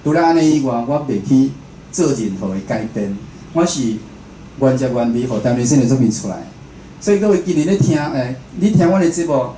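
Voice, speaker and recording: A man's voice: mid-range voice, dark tone. A man with a Taiwanese accent gives an informal talk as a radio host, conversational and often putting questions to his listeners. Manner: conversational, puts questions to the audience. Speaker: speaker, radio station director and show host. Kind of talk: informal public lecture. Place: indoor talk venue. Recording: roomy echo, faint background noise.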